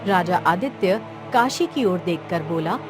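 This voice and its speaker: A woman's voice: fairly low pitch, lively intonation, a little slow, over music. A woman narrating a scripted children's cartoon story as the storyteller.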